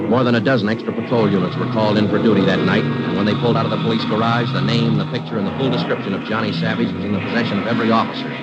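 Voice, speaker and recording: A terse police sergeant, played by a man, tells his case in a clipped, deadpan way. His voice is very low. The sound is dull and lo-fi, with music behind it, as in an old radio broadcast. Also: close to an everyday voice. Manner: clipped, matter-of-fact narration. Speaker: police detective sergeant and narrator. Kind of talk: 1940s radio drama acting.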